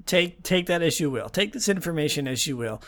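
Chatty young man, slightly hoarse. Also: on the high side, animated, a little fast, a bit bright. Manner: chatty, wry, lots of fillers and restarts. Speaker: podcast host. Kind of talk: casual news chat.

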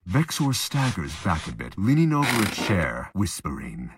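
A man with a husky voice reading scripted narration for an audio drama. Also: deep voice, huge pitch swings, normal speed, background noise.

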